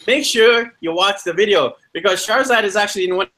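High, bright-voiced man hosting. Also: fairly quick. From Vancouver, Canada. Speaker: podcast host and interviewer. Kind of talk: upbeat podcast hosting. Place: indoors in a condo. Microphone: video call.

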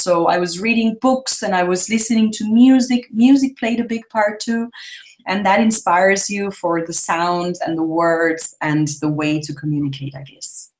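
A woman's voice: lowish voice, normal speed. Enthusiastic woman on a call. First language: German. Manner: enthusiastic, talkative, laughs often. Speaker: travel blogger guest. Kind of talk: casual interview.

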